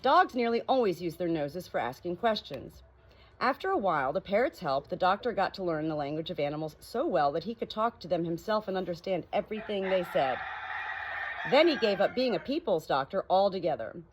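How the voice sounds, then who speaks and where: Animated woman reading a storybook. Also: fairly low pitch, brisk, slight background noise. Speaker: audiobook narrator.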